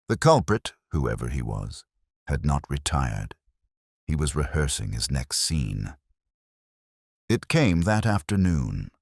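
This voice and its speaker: A man's voice: low voice, dry recording, clean studio sound. A man reading a scripted detective story as its narrator. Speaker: audiobook narrator.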